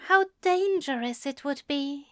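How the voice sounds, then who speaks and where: A woman voicing a gentle, prim male angel in love, speaking softly and carefully with a plaintive, formal manner. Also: extremely high pitch, lively intonation, slow pace, no echo, clean studio sound, voice pushed up, exaggerated voice. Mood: soft, wistful.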